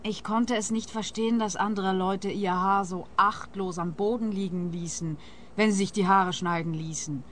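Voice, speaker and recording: A woman's voice: medium pitch, fairly even intonation, normal speed, fairly bright tone, slight background noise, natural voice. An actress with a Swiss accent playing a defensive, bitter eccentric woman in a radio play, earnest and easily offended. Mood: earnest, wounded. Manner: earnest, touchy. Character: defensive, bitter female eccentric hair collector.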